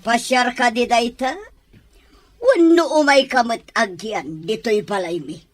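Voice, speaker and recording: A woman's voice: fairly high pitch, measured pace, faint music behind. A woman with an Ilocano accent playing a harsh, domineering mother-in-law in a radio drama. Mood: scornful. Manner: scornful, domineering.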